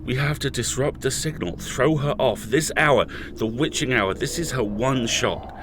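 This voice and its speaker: A man's voice: mid-range voice, measured pace, noisy background, lightly acted. A man playing an imprisoned podcast host in an audio drama, intense and raging, pleading with his colleagues. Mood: desperate. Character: imprisoned male podcast host, furious and desperate.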